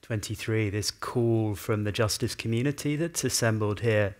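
A man moderating a conference panel, measured and posing questions with small restarts. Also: lowish voice. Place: conference room.

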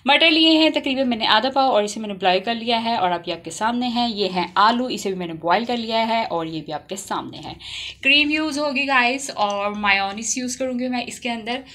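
A woman's voice: fairly high pitch, moderate pace, a bit bright. A woman chattily walking viewers through a recipe as a home cook, mixing in English phrases. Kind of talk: casual recipe demonstration. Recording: noticeable background noise, kitchen clatter.

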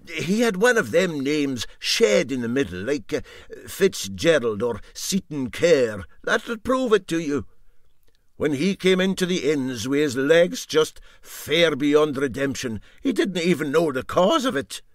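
A man voicing an indignant old Highland boat skipper telling tall tales emphatically and drolly in a Scottish accent. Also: big pitch swings, unhurried, no echo, studio quality. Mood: droll, emphatic.

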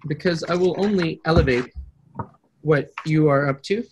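A man in his thirties speaking as a client on a video call from an office, in a deliberate but blunt, assertive way. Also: on the high side, a little hiss or hum, rough recording. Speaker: prospective coaching client, public speaker. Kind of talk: conversational sales video call. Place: small indoor office. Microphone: video call, computer microphone.

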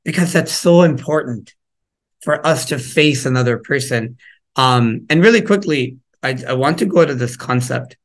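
A man giving an interactive workshop from home over a video call, chatty and searching, with plenty of fillers and restarts. He throws questions to his listeners and picks up on their answers. His voice sits at an ordinary middle pitch through a laptop mic. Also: normal speed. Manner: conversational, engaging the audience with questions; frequent fillers and repeated words. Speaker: workshop presenter. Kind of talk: interactive parenting workshop talk.

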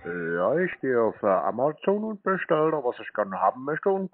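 A man with a husky voice in a prank phone conversation, answering in a deadpan, naive manner. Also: mid-range voice, brisk. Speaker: prankster answering scam calls. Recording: tinny, dry phone-band sound.

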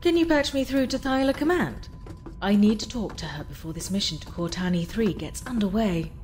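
A woman's voice: mid-range voice, expressive, brisk, a little mellow, faint music behind, close to an everyday voice. A woman playing a serious, determined rebel informant in an audio drama, businesslike with dry wit. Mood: urgent.

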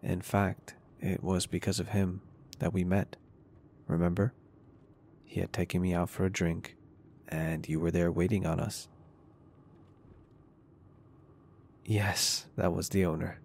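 A man's voice: deep voice, flat delivery, unhurried, gravelly, a little mellow, full character voice. A man playing a caring, flirty and confident business owner in an intimate ASMR roleplay, speaking in a reassuring, polite and earnest way. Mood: frank.

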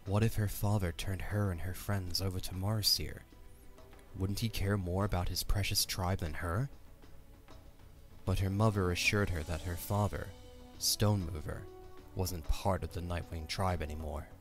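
A man's voice: low-pitched, fairly even intonation, moderate pace, soft background music. A man reading scripted story narration for an audio drama.

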